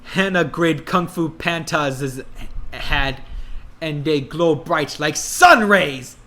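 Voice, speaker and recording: A man reading a story aloud in a mock-dramatic style, deliberately and with a straight face. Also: a little high, sharp tone, slight background noise. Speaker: dramatic reader of fan fiction.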